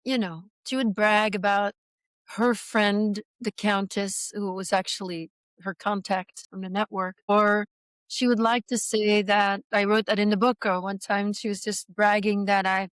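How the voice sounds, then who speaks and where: A woman in her sixties from Belgium, a guest in an interview, speaking in a measured, reflective way with soft pauses. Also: mid-range voice, little pitch movement, clean tone, no echo. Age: 60s. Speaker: guest, author and survivor. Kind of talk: conversational interview.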